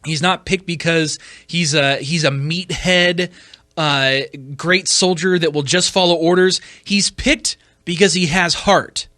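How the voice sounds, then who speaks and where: A man giving opinion commentary as a podcast host, emphatic and argumentative, often repeating words haltingly and leaning on rhetorical questions. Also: fairly high pitch, fairly even intonation, sharp tone, dry recording, clean studio sound.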